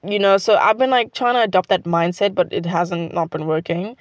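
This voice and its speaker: A woman's voice: fairly low pitch, fairly quick, dry recording, highs cut off. A teenage girl from South Africa with a South African accent, Xhosa her first language, chatting casually in a rambling vlog full of "like". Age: teens. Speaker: student vlogger.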